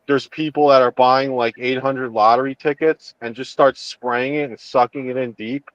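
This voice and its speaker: Halting jokey man, dry close sound. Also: medium pitch, steady tone, dry recording, highs cut off. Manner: halting, repeats words, jokey. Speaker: panelist. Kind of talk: casual panel chat.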